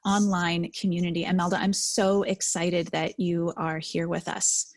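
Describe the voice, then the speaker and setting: A woman's voice: lowish voice, flat delivery, normal speed, darkish tone. Woman interviewer in conversation. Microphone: internet call.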